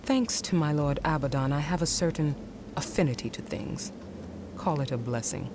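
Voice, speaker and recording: A woman's voice: deep voice, moderate pace. A woman playing a cold, zealous villainous war marshal, haughty and commanding, in a video game. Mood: smug. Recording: clear noise behind the voice.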